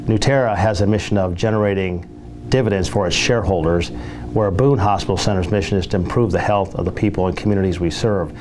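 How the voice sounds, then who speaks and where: A man speaking as a hospital representative, delivering a prepared, persuasive public address in a measured, formal, explanatory manner. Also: fairly low pitch, darkish tone, slight background noise.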